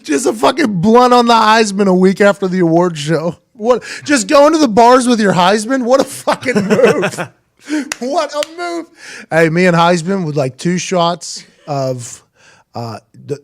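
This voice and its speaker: High-voiced animated male podcast host. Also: normal speed, creaky. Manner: profane, animated riffing. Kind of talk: casual comedic chat.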